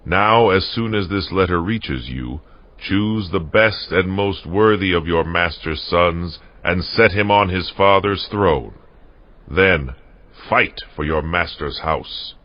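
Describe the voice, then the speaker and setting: Deep-voiced, fierce warrior king. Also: takes it slowly. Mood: stern, challenging. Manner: declamatory, stern, imperious delivery. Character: Jehu, fierce, commanding warrior king of ancient Israel. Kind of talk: dramatized scripture audio drama. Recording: dull muffled audio under music.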